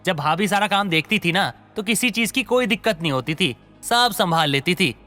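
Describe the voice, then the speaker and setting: A man's voice: high voice, expressive, moderate pace, pushed and bright, faint music behind. A man playing an irritable, demanding young husband, scolding and impatient, in a dramatised family story. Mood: annoyed, scolding. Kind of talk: dramatised Hindi moral story acting.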